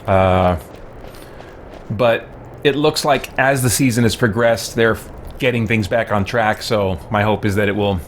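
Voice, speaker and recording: A man's voice: fairly low pitch, a little slow, slight background noise. A man chatting casually as he livestreams a video game, speaking in a thoughtful, conversational way with hesitant phrasing. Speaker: live streamer playing a video game.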